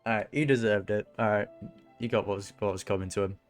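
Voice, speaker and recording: A woman game streamer with an extremely low voice. She comments casually on what she is playing in informal, slangy speech. Faint music runs underneath. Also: fairly even intonation, fast. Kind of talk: casual reaction commentary over gameplay.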